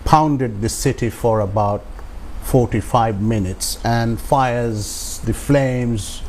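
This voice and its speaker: A man in his sixties with a Bangladeshi accent tells his own story as an interview guest, haltingly, with filled pauses. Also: mid-range voice, a little mellow, a little hiss or hum. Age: 60s.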